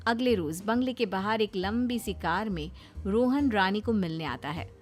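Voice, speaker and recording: A woman's voice: mid-range voice, normal speed. Woman narrating a cartoon moral story.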